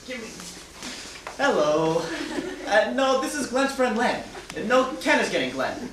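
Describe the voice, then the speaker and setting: A man's voice: very high-pitched, sing-song, unhurried, full character voice. An actor playing an irate, sarcastic wealthy man in a theatrical stage farce, blustering and exasperated. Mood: testy, suspicious. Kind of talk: theatrical stage comedy acting. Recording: distant echoey stage recording, noisy audience.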